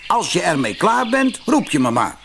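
A man voicing a bossy, bargaining wolf in a storybook, speaking in a commanding, deliberate way. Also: high-pitched, sing-song, gravelly, fairly bright tone, slight background noise, voice pushed up, exaggerated voice. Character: bossy male wolf with fiery eyes, bargaining.

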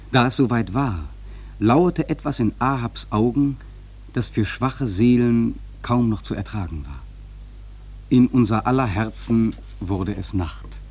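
A man playing a grave sailor who narrates a whaling voyage in a German radio drama, solemn, measured and husky. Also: medium pitch, mellow.

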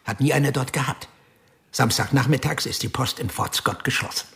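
A patient, probing detective in a radio drama. His voice sits at an ordinary male pitch, level and measured, and it keeps pressing without raising itself. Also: creaky, fairly bright tone. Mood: steady. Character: calm, probing male police investigator. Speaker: police detective.

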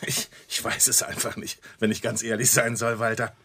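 An actor playing an uneasy, doubting law partner in a radio crime drama, speaking hesitantly and apologetically. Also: male voice, on the low side, completely flat delivery, moderate pace, pushed and bright. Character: uneasy law partner, decent but doubting male friend.

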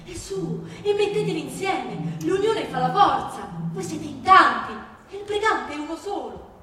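A woman's voice: very high-pitched, animated, moderate pace, pushed and bright, slight background noise, pitched above the performer's own voice, heavily acted. A woman voicing a brave, simple village girl who becomes a giantess, in emphatic theatrical storytelling. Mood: rousing. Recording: echoey hall, distant mic, music behind.

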